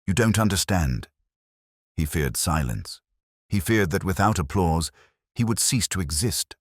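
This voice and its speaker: A man voicing a frightened, grieving, devoted actress in an audiobook, hushed and pleading. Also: low voice, expressive, a little slow, no echo, clean studio sound. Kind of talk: audiobook character acting.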